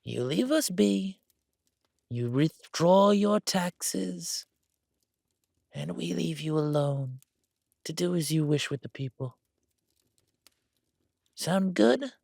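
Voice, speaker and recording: A man's voice: fairly high pitch, expressive, takes it slowly, gravelly, long pauses, darkish tone, dry recording, clean studio sound, voice pushed up, heavily acted. A man playing a smug, condescending noble envoy with a haughty, mock-polite and theatrical manner in an audio drama.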